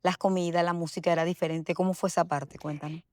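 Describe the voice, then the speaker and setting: A woman's voice: fairly low pitch, steady tone, quick pace, a little mellow, no room sound. A woman hosting a conversational interview, prompting her guest and affirming what she hears. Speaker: interview host.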